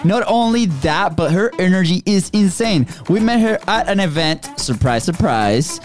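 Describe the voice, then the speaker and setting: A male podcast co-host with a high voice brings big, cheering enthusiasm to his introductions and questions. Faint music plays under his voice. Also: animated, normal speed. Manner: enthusiastic, hype-filled, cheering. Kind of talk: upbeat podcast hosting.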